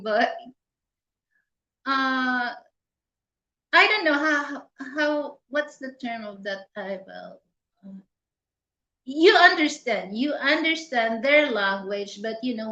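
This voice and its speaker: Filipina woman, Filipino accent, slow halting speech, echoey dull call audio. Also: a little high, pushed and bright. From Philippines. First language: Hiligaynon. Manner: hesitant, searching for words, many fillers. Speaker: interview guest. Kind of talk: casual conversational interview. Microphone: video call. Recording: roomy, dull lo-fi sound.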